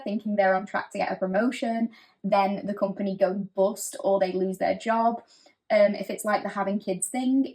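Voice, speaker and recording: A woman giving a pick-a-card tarot reading in a gentle, reassuring, chatty way, with frequent fillers. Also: mid-range voice. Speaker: tarot reader.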